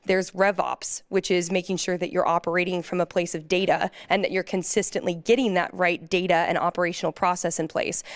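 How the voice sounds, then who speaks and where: A woman's voice: fairly low pitch, no room sound. An American-accented woman explaining her executive role on a panel in an articulate, businesslike way. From United States. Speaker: panelist, fractional chief revenue officer.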